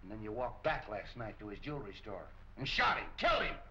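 An actor playing a gruff, browbeating middle-aged police captain in a 1940s crime film. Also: male voice, on the low side, huge pitch swings, fast, pushed and bright, full character voice. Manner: gruff, badgering, clipped. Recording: muffled, hissy 1940 film soundtrack.

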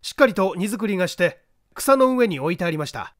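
Dutiful army sergeant major, acted by a male reader, polite formal report, raised pitch, audiobook story. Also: animated, a little fast, a bit bright, no echo, clean studio sound. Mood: earnest reporting.

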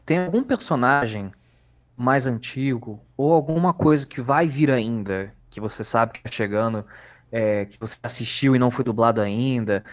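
A man's voice: medium pitch, lo-fi sound. A Brazilian man chatting casually as a podcast co-host. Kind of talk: casual chat.

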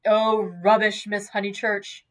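A woman reading a novel as a stern, cold man with a sharp, clipped, disdainful way of speaking. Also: mid-range voice, flat delivery, unhurried, lightly acted. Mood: contemptuous. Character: stern, cold, contemptuous man of quiet authority.

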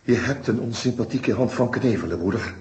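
An actor playing a defiant captive police inspector in an old-fashioned Dutch radio drama, with a dry, mocking manner. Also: male voice, medium pitch, fairly quick, darkish tone, natural voice. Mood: mocking grumble. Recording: roomy, echoing radio play recording.

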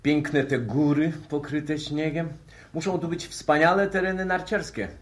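A man's voice: a little high, steady tone, close to an everyday voice. A man in a 1960s radio play acting an easygoing, courteous professor who chats without hurry. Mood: relaxed, appreciative. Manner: courteous, chatty, unhurried. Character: genial, easygoing professor fond of cognac.

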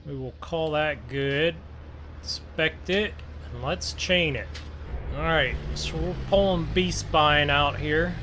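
A man's voice: on the high side, slow pace. A man giving casual, laid-back role-play commentary as the host of a gaming video, narrating what he does for viewers. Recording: steady engine and vehicle noise behind. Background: farming game vehicle and outdoor sounds.

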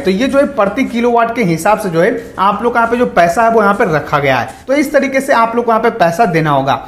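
A man presenting an explanatory online tutorial, going over points repeatedly in a roomy, echoing recording. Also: high-pitched. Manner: repetitive, explanatory, reads out then paraphrases.

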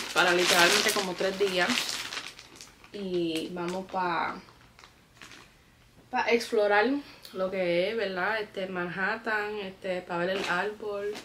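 A woman's voice: mid-range voice, a bit bright, slight background noise. Chatty Bronx-born woman. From New York, the Bronx. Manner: chatty, mixes English words into her Spanish. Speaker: family vlogger. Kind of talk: casual vlog chat to camera. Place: at home, packing a suitcase.

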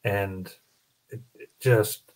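A man's voice: fairly low pitch, fairly even intonation, extremely slow, darkish tone. A middle-aged man hosting a casual panel chat, rambling a little as he thinks aloud. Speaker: host of a collector panel. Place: small home room, indoors, video call. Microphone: studio mic on boom arm close up.

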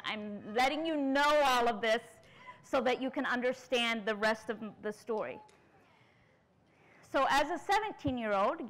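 A woman's voice: a little high, fairly bright tone. A woman in her forties from the United States, speaking earnestly to a conference audience in a large tent and asking them questions as she tells her story. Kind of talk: lecture.

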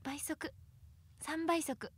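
Very high-pitched, excitable woman chatting. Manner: excitable, flustered, repeats words rapidly. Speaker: radio show host playing a mobile game. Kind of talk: casual live gameplay chat. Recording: game music behind the voice. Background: game music.

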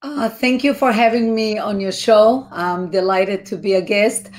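Woman guest, middle-aged, earnest, halting, on a webcam. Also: medium pitch, darkish tone. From Israel. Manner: earnest, with frequent "uh" fillers. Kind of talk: interview storytelling. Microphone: video call webcam.

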